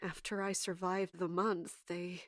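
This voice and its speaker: A woman playing a wounded, caring but guarded royal guard captain in an audio drama, speaking earnestly and haltingly. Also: lowish voice, flat delivery, no echo, clean studio sound, natural voice. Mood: pained, reluctant. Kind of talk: dramatic audio fiction acting.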